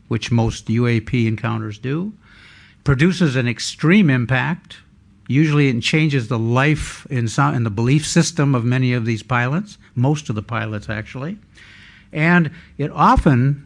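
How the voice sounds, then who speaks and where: An older man giving a conference presentation as a researcher, speaking in a measured, halting way with frequent pauses. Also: mid-range voice.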